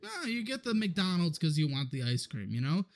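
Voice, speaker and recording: A man with a slightly high voice, chatting loosely on a podcast. He speaks as a guest, leaning on fillers as he explains his views on money. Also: sing-song, normal speed. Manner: loose, filler-heavy talk. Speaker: podcast guest, financial show host. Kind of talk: podcast conversation.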